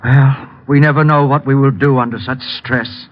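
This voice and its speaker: A man acts a polite but guarded husband in a vintage radio mystery. His mid-pitched voice is measured and formal, always explaining. The recording is dull and old. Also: steady tone, slight background noise, close to an everyday voice. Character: smooth, defensive husband hiding behind polite explanations. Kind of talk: old-time radio drama acting.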